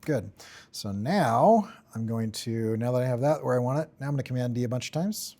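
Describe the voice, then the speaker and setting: A man giving an informal software tutorial, thinking aloud in short, offhand fragments as he works. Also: mid-range voice, animated, a little fast. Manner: offhand, talks in short fragments while working. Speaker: tutorial instructor.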